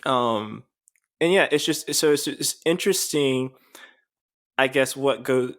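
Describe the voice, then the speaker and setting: A man's voice: mid-range voice, takes it slowly, fairly bright tone. A man co-hosting a podcast, talking casually in a rambling, hesitant way with many restarts. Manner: rambling, hesitant, self-deprecating. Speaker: podcast co-host.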